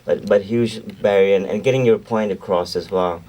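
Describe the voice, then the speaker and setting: A man's voice: lowish voice, steady tone, normal speed, warm tone, far from the mic, low-quality recording. A man who speaks Urdu as his first language, chatting as a guest singer-songwriter in a radio interview, rambling, correcting himself and laughing easily.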